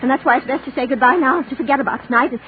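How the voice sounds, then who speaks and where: A woman plays a timid, apologetic young American woman in an old radio play. Her voice is very high and anxious, heard through a tinny recording with clear noise. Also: racing, natural voice. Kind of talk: 1940s radio drama acting.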